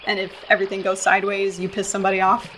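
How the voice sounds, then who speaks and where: A woman's voice: mid-range voice, completely flat delivery, very fast, fairly bright tone, slight background noise, lightly acted, rough recording. A young woman with an American accent in a radio play, acting a sarcastic, exasperated hiker. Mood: frustrated. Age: young adult. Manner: sarcastic, incredulous.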